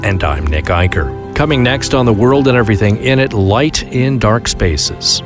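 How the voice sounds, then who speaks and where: A man co-hosting a radio news show, reading a scripted intro in a steady broadcast voice. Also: on the low side, moderate pace, over music. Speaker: news program co-host.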